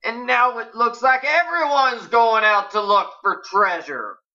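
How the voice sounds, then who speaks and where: A woman's voice: deep voice, animated, measured pace, sharp tone, lo-fi sound, exaggerated voice. A woman voicing a reserved, irritable young cowboy with laconic rustic speech in a story. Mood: irritated.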